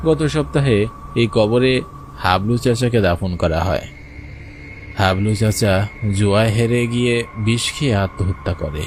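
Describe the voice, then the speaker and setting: A man's voice: fairly low pitch, normal speed, pauses often, faint music behind, close to an everyday voice. Man acting a frightened village fisherman telling a ghost story. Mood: uneasy storytelling. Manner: suspenseful narration with urgent, anxious dialogue. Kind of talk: dramatic first-person horror storytelling for a cartoon.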